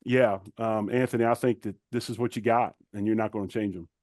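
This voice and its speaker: A man's voice: fairly low pitch, fairly even intonation, brisk, a little mellow, dry recording. Casual man, dry close sound. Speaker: podcast guest. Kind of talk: casual chat.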